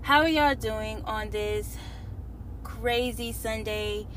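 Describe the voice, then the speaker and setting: A woman hosting her podcast in casual, slangy chat, a little hoarse from a cold. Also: on the high side, takes it slowly, a little hiss or hum. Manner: animated, slangy and chatty. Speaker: podcast host. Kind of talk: casual spiritual podcast talk.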